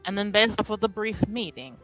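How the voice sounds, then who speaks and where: A woman voicing a blunt, skeptical woman in tabletop roleplay, speaking deliberately and pausing in the middle of her thoughts. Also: mid-range voice, expressive, fairly bright tone, faint music behind, close to an everyday voice, rough recording. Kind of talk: improvised in-character tabletop roleplay.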